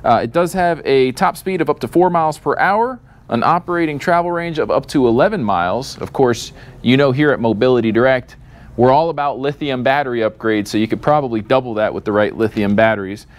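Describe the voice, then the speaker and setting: A man's voice: medium pitch, a little fast. A man in his thirties, a store host, walking through product specs in an upbeat sales manner in a showroom. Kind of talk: product walkthrough. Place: showroom full of scooters.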